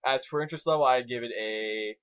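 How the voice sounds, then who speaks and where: A man's voice: medium pitch, fast, clean tone, dull audio. A man co-hosting a casual review, rambling through his opinions with plenty of crude swearing. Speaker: co-host reviewing fan fiction.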